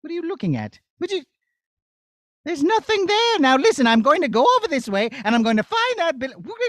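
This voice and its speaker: A man's voice: very high voice, big pitch swings, brisk, a bit bright, no echo, clean studio sound, exaggerated voice. A man voicing a chatty, prim, easily flustered young princess in a children's puppet show. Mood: flustered, exasperated. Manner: exclaiming, sing-song calling, prim.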